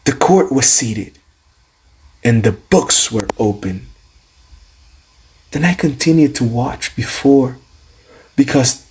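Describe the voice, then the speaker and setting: A man's voice: on the high side, slightly rough. A man reading scripture dramatically in a solemn, declamatory manner, stretching out phrases for emphasis. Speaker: student reader of scripture.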